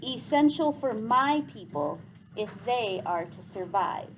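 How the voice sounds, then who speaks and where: A woman voicing a wise, gentle old man in a storybook, speaking in a grave, formal and measured way. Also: fairly high pitch, animated, soft background music, low-quality recording. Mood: solemn, earnest. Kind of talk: storybook read aloud.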